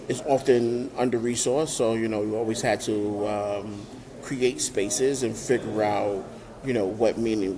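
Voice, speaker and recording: A relaxed man with a New York accent talks over a remote call. His voice sits on the low side, with loud crowd chatter behind him. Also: gravelly, low-quality recording. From Brooklyn, New York. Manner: relaxed, many fillers and restarts. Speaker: nonprofit founder, guest. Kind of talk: remote interview conversation.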